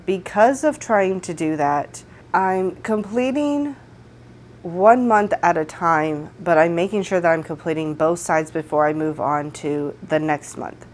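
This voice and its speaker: A woman in her thirties, slightly congested from a cold, chatting casually and warmly about books she has read, from her home. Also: fairly low pitch. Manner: friendly, chatty, upbeat. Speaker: book vlogger. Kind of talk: casual book wrap-up chat. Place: home room. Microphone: desk microphone.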